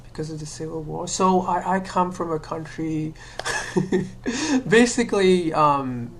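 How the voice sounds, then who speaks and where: A woman with a low, deep voice speaks to her camera in a loose, thinking-aloud monologue. She hedges and restarts often, leaning on "um" and "you know". A faint hiss sits under the home recording. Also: slow pace. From Sri Lanka. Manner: hesitant, many fillers and restarts, laughs self-consciously. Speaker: vlogger. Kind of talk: casual personal monologue.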